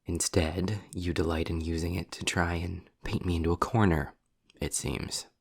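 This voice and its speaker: A man playing a sardonic, world-weary demon in an intimate roleplay audio drama, deliberate and breathy, often dropping toward a whisper. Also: deep voice, little pitch movement, full character voice. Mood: sarcastic, exasperated. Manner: sarcastic, deliberate, sighing.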